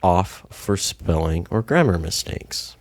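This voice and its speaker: A man's voice: sits low, expressive, slow pace, no echo. A young man co-hosting a casual podcast, laid-back and swearing freely, at times reading a post aloud. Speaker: podcast co-host.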